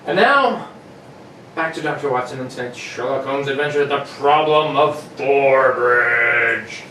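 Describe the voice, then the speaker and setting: A man's voice: medium pitch, a little slow, pushed and bright. A man playing a genial, folksy radio announcer, giving hesitant sponsor patter in old-time style. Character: genial male radio announcer chatting with Watson. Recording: roomy, distant mic with faint noise.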